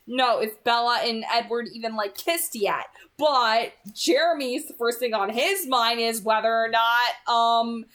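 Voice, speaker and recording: A woman's voice: a little high, a bit bright. A woman chatting casually as she gives book commentary, rambling and emphatic, often repeating phrases for stress. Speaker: read-aloud channel host giving commentary.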